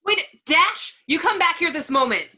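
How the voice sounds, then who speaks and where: A woman's voice: very high voice, expressive, moderate pace, sharp tone, full character voice. A woman playing an anxious, take-charge superhero mother in an amateur online table read. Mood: exasperated, scolding.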